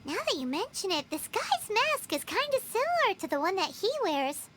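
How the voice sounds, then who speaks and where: A woman voicing a tiny, chirpy, excitable fairy companion in a video game, with a squeaky childlike voice and a perky, bossy manner. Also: normal speed, crowd noise. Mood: curious. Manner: perky, speaks of herself in third person. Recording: game music behind the voice.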